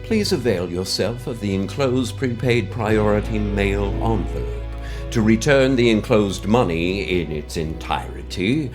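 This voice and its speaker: A man playing a mysterious wealthy benefactor in an audio drama, with a pompous, florid, formal manner and theatrical pauses. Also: on the low side, music in the background, close to an everyday voice. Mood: condescending. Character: mysterious wealthy male benefactor, pompous, grandiose, slyly menacing. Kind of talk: scripted audio drama monologue.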